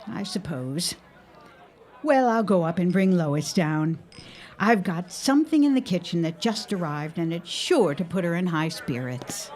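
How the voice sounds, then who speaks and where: An actress playing a doting, gently wry middle-aged 1910s mother in an audio drama, coaxing as she talks. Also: on the low side, sing-song, measured pace. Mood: wry, cheerful. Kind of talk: period audio drama acting.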